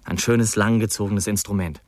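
Ein Schauspieler spielt in einem Hörspiel einen Musiker, der im Plauderton von einem alten Freund erzählt. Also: male voice, lowish voice, steady tone, moderate pace, darkish tone, natural voice. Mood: fond, chatty. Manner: conversational, addresses the listener. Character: Jim, chatty musician remembering an old friend. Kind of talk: radio drama reminiscence.

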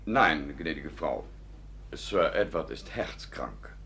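Deep-voiced, stiffly formal manservant. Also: male voice, animated, creaky, a bit bright, a little hiss or hum, heavily acted. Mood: stiff, reserved. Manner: stiff, deferential, measured. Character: formal, dignified manservant of a rich household. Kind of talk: 1950s German radio play acting.

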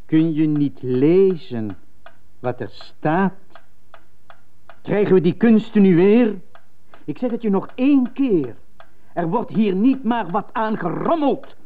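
Stern, animated female piano teacher. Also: mid-range voice, slow pace, long pauses. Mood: scolding. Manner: emphatic, lecturing, repeats for effect. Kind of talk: 1980s radio drama. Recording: thin phone-like radio tape.

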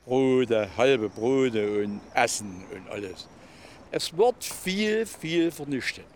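A man's voice: medium pitch, lively intonation, slow pace, a little hiss or hum. An older man talking spontaneously and emphatically as an interviewee, often repeating his phrases for weight. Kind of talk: spontaneous opinionated talk.

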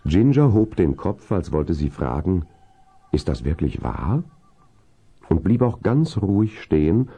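A man narrating a 1970s children's audio drama in a measured, descriptive storytelling manner. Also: low-pitched, mellow, breathy edge, dull audio, exaggerated voice.

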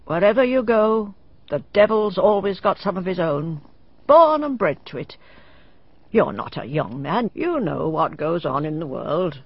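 Sly older village witch, rustic and cryptic, swooping lively pitch, husky, on a dull dry radio recording. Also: unhurried, no room sound, full character voice. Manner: cryptic, gossiping, rustic grammar. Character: old village woman who plays witch, sly, knowing. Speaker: village fortune teller. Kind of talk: radio drama acting.

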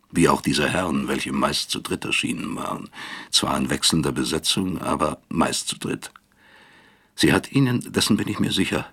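Radio drama narrator: self-accusing, weary professor, rock-bottom register, husky and creaky, measured reflective delivery. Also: male voice, a bass voice, fairly bright tone, heavily acted. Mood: bitter recollection. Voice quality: gravelly, husky. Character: weary, self-accusing professor of medicine recalling his East German past.

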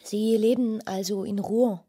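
A woman's voice: mid-range voice, fairly even intonation, unhurried, warm tone, husky, no room sound, studio quality. An actress playing a romantic, dreamy young married woman in a radio play, speaking in an intimate, yearning way. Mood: surprised, eager. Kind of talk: German radio play acting. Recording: music behind the voice. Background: music.

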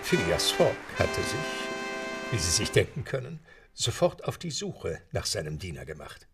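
A man's voice: low voice, sing-song, a little slow, creaky, loud music behind the voice, full character voice. A man narrating an adventure story in a radio play, composed and lightly wry, in scripted style. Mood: composed, confiding.

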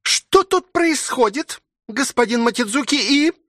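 A man's voice: sits high, very animated, a little slow, a bit bright, no echo, clean studio sound, pitched above the performer's own voice, heavily acted. A man voicing a polite, devoted young maid who speaks deferentially in an audiobook. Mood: shocked. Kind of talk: audiobook character voice.

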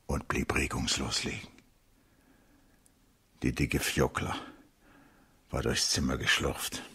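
Deep-voiced man narrating a radio play. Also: slight background noise. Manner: measured short phrases, expressive storytelling. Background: prominent music.

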